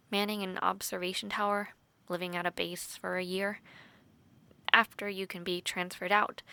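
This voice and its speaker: A woman acts out a playful young woman training to be a soldier, in a fantasy audio drama. She talks in an easy, teasing, conversational way with pauses and sighs. The sound is intimate and dry, with no room around it. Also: medium pitch, sharp tone, no echo. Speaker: voice actor in audio roleplay. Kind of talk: intimate audio roleplay acting.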